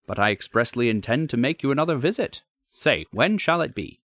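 A man voicing a curious, composed gentleman visitor in a storybook, asking questions politely and reassuringly. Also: medium pitch, expressive, brisk, no room sound, studio quality, voice pushed up, natural voice. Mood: curious, earnest. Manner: polite, inquisitive.

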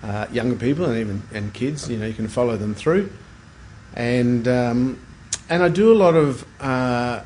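A man's voice: medium pitch, moderate pace, a little mellow. Unassuming middle-aged Australian man, noisy background. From Brisbane, Australia. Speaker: guest, plastic surgeon. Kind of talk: casual interview chat.

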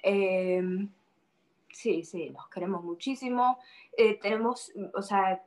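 A woman's voice: medium pitch, takes it slowly, a little mellow. A young woman from Spain, a guest in an interview, who talks casually and hesitates, repeating words as she thinks.

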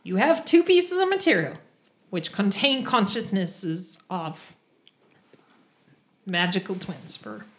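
A woman's voice: mid-range voice, big pitch swings, slow, pauses often. A woman with a light Irish accent, narrating as the game master of a tabletop role-playing game, chatty and fond of puns. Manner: chatty, punny, laughing asides.

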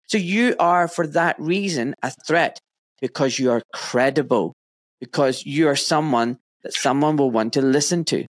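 Emphatic Glaswegian man, higher voice. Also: moderate pace, dry recording, clean studio sound. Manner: animated, emphatic, swearing freely. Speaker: podcast host. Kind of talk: casual podcast conversation.

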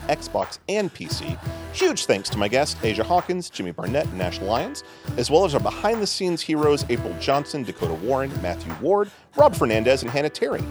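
A man hosting a casual podcast, running the chat with playful, jokey asides. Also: medium pitch. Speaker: podcast host.

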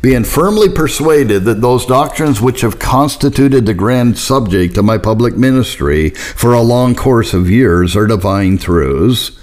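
A man narrating historical religious writings in a measured, formal, solemn way, like an audiobook. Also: mid-range voice, a little mellow. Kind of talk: scripted narration, audiobook reading.

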